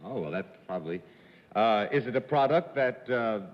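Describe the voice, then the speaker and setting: A man on a television game show panel, wisecracking and asking halting questions with many fillers. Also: fairly low pitch, animated. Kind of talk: quizzing a contestant on live TV. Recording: dull 1950s broadcast audio with long reverb.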